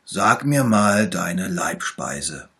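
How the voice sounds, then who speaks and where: A man's voice: sits low, big pitch swings, very slow, fairly bright tone, husky, full character voice. A man voicing a kind little male bear who speaks in a hearty, reassuring way, in a storybook reading. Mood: caring.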